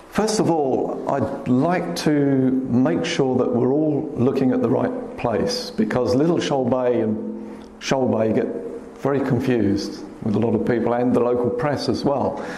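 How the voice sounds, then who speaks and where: A man with a quiet voice, giving a public talk about birds, gently pointing out places on his slides. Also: mid-range voice. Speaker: guest speaker, wildlife photographer. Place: hall at a club meeting. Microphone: speaker's microphone. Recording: echoey hall, faint room noise.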